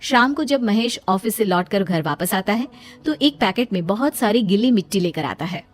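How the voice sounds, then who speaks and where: A woman's voice: mid-range voice, animated, normal speed. A woman reading a scripted story as its narrator. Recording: music behind the voice. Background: background music.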